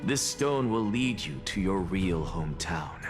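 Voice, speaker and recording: A theatrical male scholar-alchemist from a fantasy game. He declaims eloquently in a low voice, utterly sure of himself, over prominent music. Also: animated, measured pace. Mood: lofty, instructive. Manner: declamatory, eloquent, self-assured. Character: confident, theatrical male scholar-alchemist revealing grand theories. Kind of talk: dramatic fantasy game voice acting.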